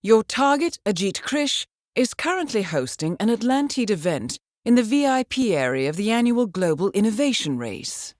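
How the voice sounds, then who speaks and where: An actress playing a composed, shrewd intelligence handler in a video game, giving mission briefings in a measured, precise and dryly wry way. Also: female voice, medium pitch, very animated, darkish tone, dry recording, clean studio sound, close to an everyday voice. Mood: composed, intrigued.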